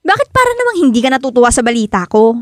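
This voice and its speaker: A young Filipina bride-to-be, with a high voice that swings widely as she nags and teases. She is insistent and expressive, and the recording is dry and close. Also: no room sound. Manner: nagging, expressive, quick to press questions. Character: insistent, teasing young bride-to-be, best friend. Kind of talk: Tagalog radio drama acting.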